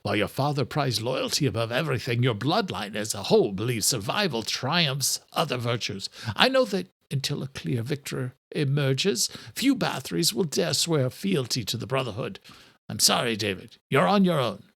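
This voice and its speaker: A man voicing the cold, menacing male leader of a vampire brotherhood, talking in a measured, parental way. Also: mid-range voice, very animated, vocal fry, a bit bright, slightly rough, dry recording, exaggerated voice.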